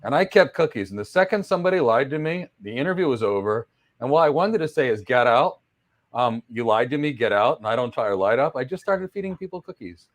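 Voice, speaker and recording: A man's voice: medium pitch, brisk. A man of Greek background hosting a casual interview, telling emphatic stories. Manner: animated, emphatic storyteller. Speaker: host.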